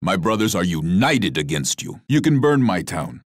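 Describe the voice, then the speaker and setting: An actor playing a proud, stern Sioux war chief in a strategy video game, speaking in a measured, declamatory way. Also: male voice, sits low, very animated, dry recording, studio quality. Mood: menacing, proud. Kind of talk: scripted strategy game character lines.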